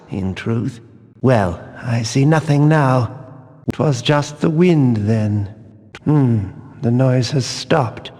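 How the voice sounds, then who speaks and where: An actor playing a stern, pious zealot guard in a fantasy video game, speaking in solemn, archaic, preachy diction. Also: male voice, mid-range voice, creaky, a little mellow. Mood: calm, wary. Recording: long hall-like reverb.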